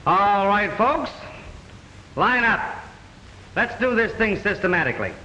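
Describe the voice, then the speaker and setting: A brash, wisecracking detective from an old radio drama, with a high, lively, bright voice. The recording carries a long hall reverb and a faint hiss. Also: male voice, a little slow, heavily acted. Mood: commanding. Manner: breezy, commanding, wisecracking. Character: brash young male detective, takes charge. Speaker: detective lead. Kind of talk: 1940s radio drama acting.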